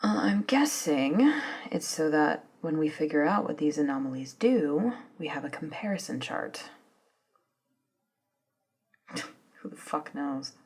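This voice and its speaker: A woman playing an eager, polite female office and lab assistant in a soft-spoken ASMR roleplay, with a breathy, murmuring, slightly hesitant delivery. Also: sits low, animated, measured pace, pauses often, full character voice. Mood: curious, casual. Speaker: voice actor in scripted ASMR roleplay.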